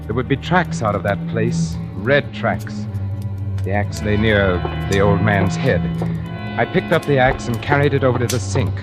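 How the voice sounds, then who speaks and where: An actor playing a cultured, scheming painter in a 1940s radio thriller, refined, theatrical and deliberate in manner. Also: male voice, on the low side, lightly acted. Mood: brooding, sinister. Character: cultured, scheming male painter covering up a murder. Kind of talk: 1940s radio drama acting, first-person suspense narration. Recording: vintage radio broadcast with music.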